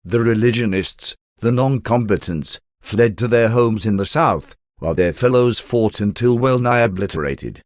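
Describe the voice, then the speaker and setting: A man narrating a scripted religious text in a measured, formal, expository manner. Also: lowish voice, no room sound, studio quality. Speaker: audiobook narrator.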